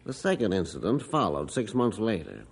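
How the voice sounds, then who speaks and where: Brief: middle-aged rancher, husky, matter-of-fact, old radio drama. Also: male voice, on the low side, wildly swooping pitch, normal speed, exaggerated voice. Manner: folksy, matter-of-fact storytelling. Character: rancher father Mister Jorgensen, gruff storyteller. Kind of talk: 1950s radio drama narration.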